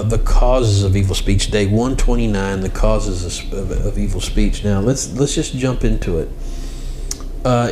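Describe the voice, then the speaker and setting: A man giving an informal devotional lesson as host, speaking directly to the listener and repeating phrases for emphasis. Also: mid-range voice. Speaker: host and religious teacher. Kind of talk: informal devotional teaching to camera or mic.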